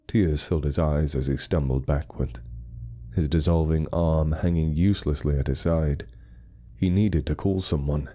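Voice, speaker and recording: Very deep, dark-voiced male horror narrator. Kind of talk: dramatic scripted narration.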